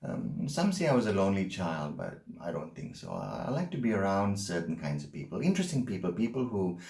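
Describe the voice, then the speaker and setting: Casting: male actor for unsettling, stammering male confessor in a dramatic monologue, low-voiced, recorded in an echoing room. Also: wildly swooping pitch, vocal fry, darkish tone, exaggerated voice. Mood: uneasy, confiding. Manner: halting, stammering, intense storytelling. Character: Brocky, unsettling haunted man confessing his troubled loves.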